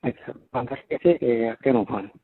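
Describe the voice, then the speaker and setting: A man with a Marwari accent speaks at an everyday middle pitch over a dull, lo-fi phone call. He talks casually and privately, often repeating short phrases and questions. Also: normal speed, breathy edge. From Rajasthan, India. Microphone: telephone. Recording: muffled lo-fi phone call recording.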